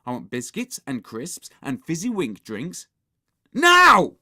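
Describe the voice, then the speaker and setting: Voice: medium pitch, very animated, unhurried, a little mellow. A narrator voicing a bossy, scheming young boy who commands and sneers in a children's storybook.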